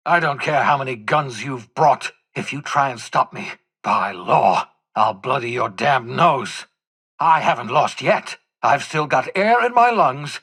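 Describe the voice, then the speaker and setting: An embittered old scientist turned rebel for a video game. The voice is husky and bright with forceful edge, swinging widely in pitch. He speaks in wordy, scornful, melodramatic flourishes. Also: exaggerated voice. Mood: defiant fury. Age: older. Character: eccentric, embittered old scientist rebel leading crazed inmates. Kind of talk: theatrical video game character acting.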